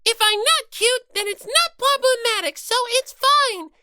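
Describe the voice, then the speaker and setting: A woman's voice: very high-pitched, unhurried, bright, forceful tone, pitched above the performer's own voice, heavily acted. A woman voicing a small, easily flustered little-sister rabbit mascot in an audiobook, whiny and scolding. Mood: indignant. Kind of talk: dramatized audiobook character reading.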